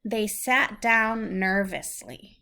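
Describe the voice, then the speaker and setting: A woman's voice: mid-range voice, slow pace, gravelly, pushed and bright, clean tone. A woman reading a story aloud to a young child, patiently explaining things in simple, teacherly phrases.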